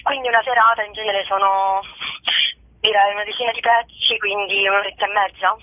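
A woman's voice: mid-range voice, fairly even intonation, brisk. A woman giving casual interview answers in a hesitant, meandering way, thinking aloud. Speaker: actress and stand-up performer being interviewed. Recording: dry, tinny phone-like narrow band.

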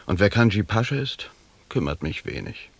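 An actor playing a proud, rootless captain and hardened wanderer in a German radio drama, with a gravelly, husky voice. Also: male voice, on the low side, very animated, normal speed, full character voice. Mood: brooding, reminiscent.

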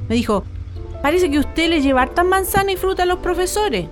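A woman's voice: extremely high pitch, expressive, fairly quick, faint music behind. An actress playing a proud older Chilean mother reminiscing in a radio drama. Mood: proud, indignant.

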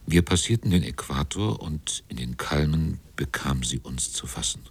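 An actor playing a sober sailor narrator, recounting a sea voyage in a literary radio drama, with a husky voice. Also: male voice, extremely low pitch, animated, normal speed, heavily acted.

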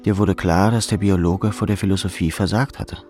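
A man's voice: deep voice, fairly even intonation, fairly quick, a little mellow, soft background music. A man reading second-person literary narration as an audiobook narrator.

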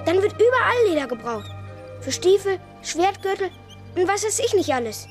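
High-voiced, defiant boy. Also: big pitch swings, normal speed, over music. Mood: determined, bitter. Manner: bold, outspoken, insistent. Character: defiant, determined, protective boy, a child labourer. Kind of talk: children's radio play acting.

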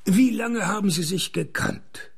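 World-weary private eye, ironic, gravelly creak, fairly high for a man, radio play. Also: a little slow, full character voice. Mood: dry, probing. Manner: dry, deadpan, ironic. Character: world-weary, sardonic middle-aged male private detective narrating his case. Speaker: lead actor and narrator. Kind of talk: noir radio play narration and dialogue.

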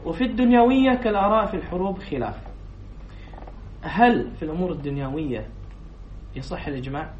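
A man teaches a class of students, reading out a text and explaining it line by line. His voice sits a little high for a man. It is picked up from across an echoey room with a dull, muffled tone and faint noise underneath. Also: expressive, fast, far from the mic. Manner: quizzes students, then repeats their answers.

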